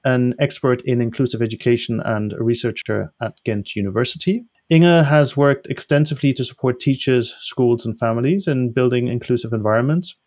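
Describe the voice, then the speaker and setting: A man's voice: medium pitch, darkish tone, highs cut off. Formal man hosting a panel. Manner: polite, formal, measured. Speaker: host. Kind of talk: scripted panel introduction.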